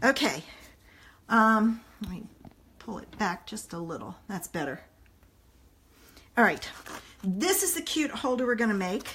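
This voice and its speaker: Brief: rambling friendly crafter woman in her 50s, a bit hoarse, tablet mic in a home room. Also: medium pitch, expressive, a little slow, long pauses, fairly bright tone, slight background noise. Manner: chatty, rambling, talks straight to viewers. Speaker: papercraft demonstrator. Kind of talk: casual live-stream craft chat. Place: home living room, indoors. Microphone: phone or tablet camera at arm's length.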